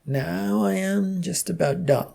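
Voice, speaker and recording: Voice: medium pitch, slow pace, creaky, warm tone, voice pushed up, full character voice. A narrator as a weary, sarcastic IT guy. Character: weary, sarcastic male office IT worker.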